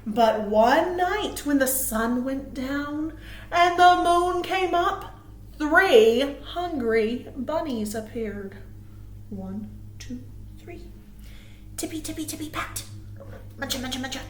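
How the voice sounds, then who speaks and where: A high-voiced woman reads a children's story in a roomy, echoing space. She works as a storytime librarian, giving words dramatic emphasis and speaking expressively to her young listeners. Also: lively intonation, takes it slowly, long pauses, a little hiss or hum. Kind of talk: animated read-aloud to young children.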